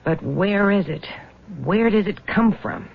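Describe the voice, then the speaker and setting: An actress playing a hard-boiled, greedy small-town widow in a radio drama, blunt and slangy. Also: female voice, medium pitch, animated, measured pace, gravelly, slightly rough, narrow, tinny audio, exaggerated voice. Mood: sardonic, curt. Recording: thin band-limited old radio recording.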